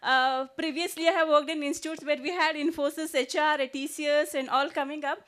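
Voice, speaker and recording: A woman's voice: high-pitched, steady tone, a little fast, a bit bright. A woman from India, earnestly asking a panel a question from the audience.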